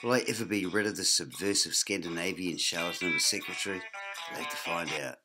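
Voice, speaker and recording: A man with a New Zealand accent narrating a comic detective story in a dry, hard-boiled deadpan. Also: low voice, fast. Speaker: narrator and lead comic actor. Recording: music playing behind voice. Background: music.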